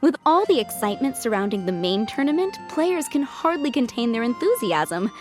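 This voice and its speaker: A woman's voice: a little high, sing-song, normal speed, faint music behind. A friendly townswoman. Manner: friendly, informative, light laugh. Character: friendly woman townsperson giving event news. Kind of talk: game dialogue.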